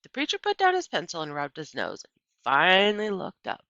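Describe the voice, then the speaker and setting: A woman's voice: lowish voice, wildly swooping pitch, a little fast, pushed and bright, no echo. A woman narrating a children's book expressively in a dry, slightly dull home recording. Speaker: story reader.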